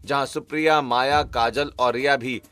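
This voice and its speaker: A man narrating a cartoon family story in a steady, explanatory way. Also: medium pitch, normal speed, clear, resonant tone, soft background music.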